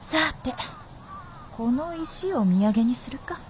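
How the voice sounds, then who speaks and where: An actress playing a playful, confident, centuries-old fox princess in a radio drama, with teasing, old-fashioned haughty speech. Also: female voice, a little high, lively intonation, slow, background noise. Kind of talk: Japanese radio drama acting.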